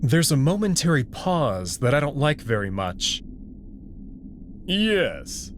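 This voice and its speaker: A man's voice: mid-range voice, sing-song, moderate pace, slight background noise. A man reading a story in the first person as a dramatic narrator, performing it as he goes.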